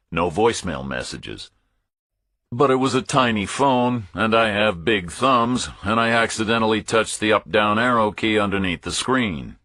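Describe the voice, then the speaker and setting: A man reads a first-person thriller as an audiobook. His voice sits fairly low, with a forceful edge and a raspy grain. The sound is dry and close, with the measured phrasing of scripted narration. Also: no room sound.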